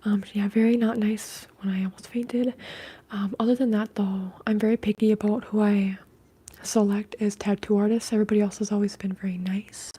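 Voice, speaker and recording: A woman speaks in a very breathy, whispery hush with little rise and fall in pitch. She is hosting ASMR and chats casually and softly, as if to viewers on a livestream. The tone stays muted and gentle on the ear. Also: flat delivery. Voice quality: soft-spoken, breathy, whispery. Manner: hushed, unhurried casual talk. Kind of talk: ASMR, chatting with live viewers.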